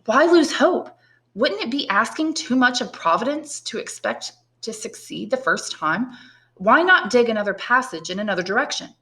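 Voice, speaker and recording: An earnest young man in prison, acted out by a woman in a classic audiobook. The delivery is raised in pitch, eager and full of questions. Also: expressive, normal speed, pushed and bright. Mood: urging, hopeful. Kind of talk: dramatised audiobook reading of a classic novel.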